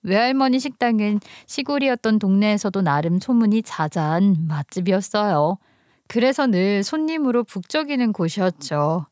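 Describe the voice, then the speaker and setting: Female story narrator, medium pitch, dry studio-like sound, scripted first-person delivery. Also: expressive, normal speed, a little mellow, no room sound.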